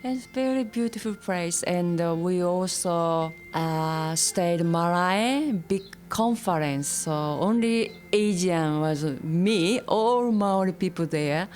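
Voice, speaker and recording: A Japanese woman, a native Japanese speaker, tells her migration story in simple, halting English and laughs often. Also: lowish voice, darkish tone. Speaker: interviewee. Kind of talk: personal story, monologue.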